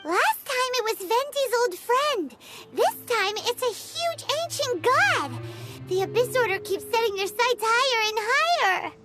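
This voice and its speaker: A tiny childlike female fairy chirps over prominent game music. Her voice is extremely high and squeaky, with lively swoops. She is excitable and curious, a video game companion who questions everything. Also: measured pace, exaggerated voice. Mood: alarmed, curious. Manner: exclamatory, inquisitive, refers to self in third person.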